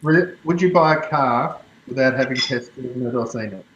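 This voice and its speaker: Calm man in an echoey, noisy room. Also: medium pitch, normal speed. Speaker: group member. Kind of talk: casual group discussion. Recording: echoey, muffled, noisy remote audio.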